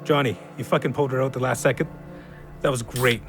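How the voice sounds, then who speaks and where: An actor playing an excitable, foul-mouthed drinking buddy in an audio drama. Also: male voice, medium pitch, quick pace, music in the background, close to an everyday voice. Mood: boozy excitement.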